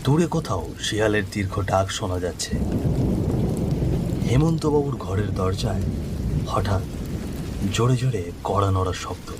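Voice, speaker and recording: A man's voice: fairly low pitch, unhurried, long pauses, very noisy. A man narrating a scripted horror story. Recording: loud rain and thunder behind voice. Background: rainstorm, thunder.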